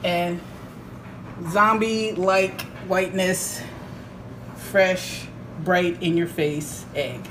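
A woman's voice: lowish voice, steady tone, drawn-out words, long pauses. A middle-aged woman chatting to the camera in her home kitchen, playful and rambling, laughing often. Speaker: homesteading vlogger. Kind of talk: casual chat to camera. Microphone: camera a few feet away. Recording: echoey kitchen with clear noise.

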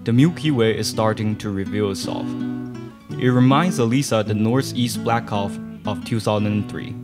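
Young male radio-play narrator, slightly low pitch, scripted read, clearly audible guitar underneath. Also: moderate pace. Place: small studio, indoors. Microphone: boom stand microphone, close. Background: guitar music.

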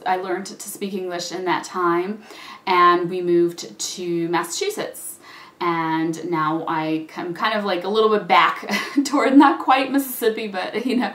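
A woman's voice: mid-range voice, fairly bright tone. A young woman born in Russia, chatting casually to camera as a YouTuber and laughing often between words. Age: young adult. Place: small indoor room with patterned wall. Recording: echoey room.

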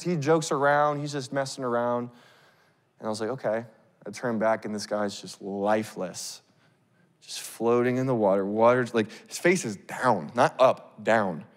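A man in his thirties preaching on a church stage into a handheld mic, telling a story in a casual, animated way. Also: medium pitch. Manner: animated, acts out scenes, casual fillers. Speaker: pastor. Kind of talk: sermon storytelling. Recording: long reverb of a big hall.

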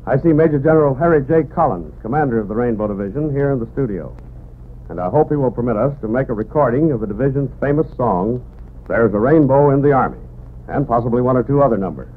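A man announcing on 1940s radio in a formal, measured manner. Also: mid-range voice, expressive. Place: radio studio. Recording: noisy, narrow-band 1940s radio recording.

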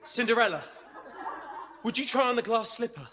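A woman's voice: a little high, expressive, moderate pace. A woman playing a grand, easily exasperated pantomime prince, declaiming melodramatically in a broad theatrical style. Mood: romantic. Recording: echoing theatre sound under loud music.

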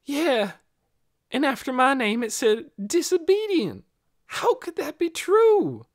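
A woman's voice: sits high, very animated, slow, pitched above the performer's own voice, full character voice. A woman voicing a guilty, wounded teenage girl in an audiobook, plaintive and pleading, with folksy, uneducated grammar.

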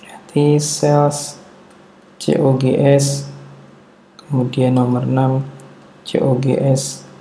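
A man narrating a step-by-step tutorial as an instructor, halting and deliberate, thinking aloud as he works. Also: medium pitch, little pitch movement, mellow. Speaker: accounting instructor. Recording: roomy echo, dull muffled tone, faint noise.

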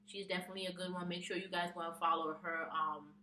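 Young woman vlogger, bright and chatty, lower-pitched voice, answering viewer questions in a casual, filler-heavy way; recorded from a few feet away in a small, roomy-sounding space with a faint hum. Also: little pitch movement, quick pace. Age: young adult. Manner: chatty, reads questions off her phone, many ums. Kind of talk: casual tag video chat. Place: small room with a plain wall, indoors. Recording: echoey room, distant mic, faint hum.

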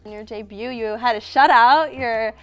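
A young woman hosts a student TV interview in a small brick-walled studio and speaks into a lapel mic. Her voice sits a little high, and she asks her questions in a friendly, upbeat way. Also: moderate pace, fairly bright tone, low-quality recording. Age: young adult.

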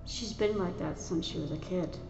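A woman voicing a kind female warrior cat who speaks softly and consolingly. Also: on the low side, normal speed, gravelly, roomy sound, background noise. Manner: soft, consoling.

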